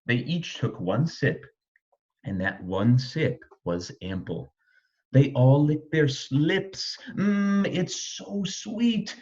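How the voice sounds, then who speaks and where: Male children's storyteller: slow, very lively intonation, rhythmic verse reading, slightly dull recording. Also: medium pitch. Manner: rhythmic, animated reading of rhyme. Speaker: storybook reader. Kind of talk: expressive scripted children's storytelling.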